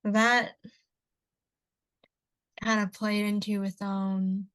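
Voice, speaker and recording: A young woman co-hosting a casual podcast, her first language Cantonese. She talks in long rambling stretches, restarting sentences and wandering into asides, at a middle pitch for a woman. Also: level tone, extremely slow, fairly bright tone, clean tone, poor audio. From Canada. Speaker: podcast co-host. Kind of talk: casual chat.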